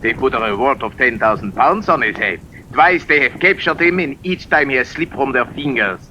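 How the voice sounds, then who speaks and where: A man's voice: mid-range voice, lively intonation, brisk, pushed and bright, lo-fi sound. A man playing a scheming, deferential German army officer in an old-time radio drama, with a clipped military manner. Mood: eager, reporting. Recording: faintly noisy old radio recording.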